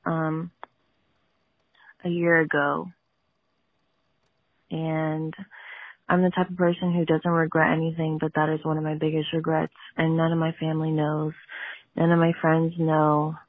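A nervous woman with a low, husky voice speaks over a narrow phone line. She is leaving an anonymous confession on voicemail. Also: no echo. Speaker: anonymous caller.

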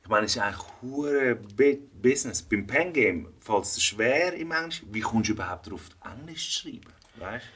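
A man's voice: medium pitch, lively intonation, moderate pace, few pauses, fairly bright tone, breathy edge. A middle-aged man from Switzerland with a Swiss German accent, interviewing a guest in a loose, slangy way full of fillers. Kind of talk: casual interview chat.

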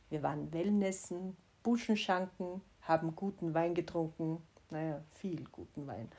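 Mellow, husky Austrian woman. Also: lowish voice. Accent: Austrian, Styrian. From Styria, Austria. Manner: candid, wry, gently self-mocking. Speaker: vlogger. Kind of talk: personal reflective monologue.

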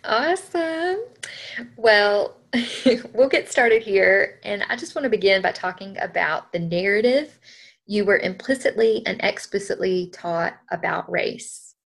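A woman's voice: medium pitch, measured pace, creaky, fairly bright tone. A woman hosting an interview podcast, speaking earnestly and reading definitions aloud with care. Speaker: podcast host.

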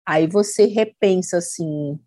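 A woman's voice: lowish voice, measured pace, warm tone, no room sound, clean studio sound. A woman content creator in casual conversation, speaking earnestly and reflectively, often quoting what others said to her.

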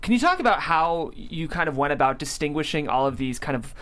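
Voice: medium pitch, big pitch swings, fairly quick, a bit bright. An enthusiastic, chatty host conducting a live vodcast interview.

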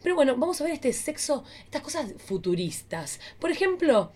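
A woman with an Argentine accent hosting a casual, bawdy comedy podcast in a theatrical, playful way with drawn-out emphasis. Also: sits high, very animated, dark tone. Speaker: podcast host. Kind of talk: casual, bawdy comic chat.

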